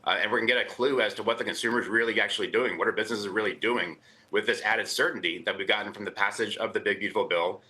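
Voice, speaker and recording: A man giving his market views as a guest on a live business news show, talking in a rushed, stumbling, emphatic way. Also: on the low side, steady tone, bright, forceful tone. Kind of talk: live TV interview.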